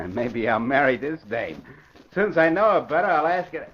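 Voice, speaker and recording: Male, brash dim-witted gangster boss, slangy and boastful, wildly swinging pitch, muffled vintage radio sound. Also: sing-song, unhurried. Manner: slangy, boastful tough-guy talk. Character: cocky thick-headed big-shot gangster, boastful tough guy. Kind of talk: 1940s radio crime drama acting. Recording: muffled old radio recording, noisy.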